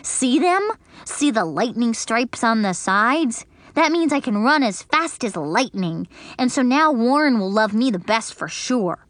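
A woman's voice: a little high, a little slow, no room sound. Woman doing a bragging, sporty little girl. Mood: excited bragging. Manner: bragging, excited.

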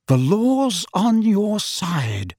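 A man plays a calm, soft-spoken storybook man. He is deliberate and reassuring, choosing his words quietly. The sound is dry and close. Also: sits high, dry recording, voice pushed up, exaggerated voice.

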